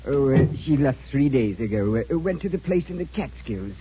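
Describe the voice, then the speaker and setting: A man's voice: mid-range voice, lively intonation, moderate pace, lightly acted. A man playing a polite, deferential and hesitant manservant in an old-time radio drama. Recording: muffled, noisy old radio recording.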